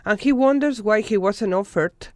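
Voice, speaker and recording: A documentary narrator reading a scripted news report in a measured, formal way from a studio voice-over. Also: mid-range voice, a little mellow, no echo.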